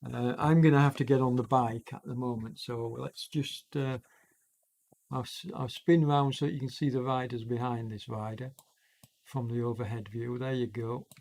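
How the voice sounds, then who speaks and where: A man hosts live commentary on an online cycling race while fighting a chest cold, so his voice sounds congested. His pitch sits in a middle register. He rambles, fills gaps with ums, and corrects himself mid-sentence. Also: steady tone, normal speed, vocal fry, a little mellow. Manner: rambling, self-correcting, many fillers. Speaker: e-racing commentator and streamer.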